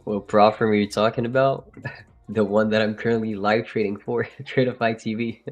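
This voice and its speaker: Joking French-accented man, deep voice. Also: level tone, moderate pace, highs cut off, faint music behind. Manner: joking, laughs often, trails off and restarts. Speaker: livestream trading host. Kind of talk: casual live-stream chat.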